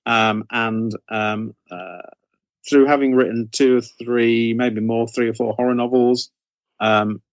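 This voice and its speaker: A man's voice: medium pitch, steady tone, slow, clear, resonant tone, dry recording. A middle-aged man giving conversational interview answers as a guest author, rambling a little as he repeats and rephrases.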